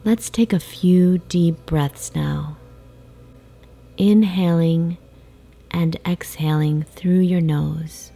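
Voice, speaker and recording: A woman guiding a sleep meditation in a soft, soothing, hypnotic way, with breathy phrase endings and long pauses. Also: on the low side, fairly even intonation. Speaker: meditation guide. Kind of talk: guided sleep meditation, slow hypnotic narration. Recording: faint background noise, ocean waves. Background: ocean wave sounds.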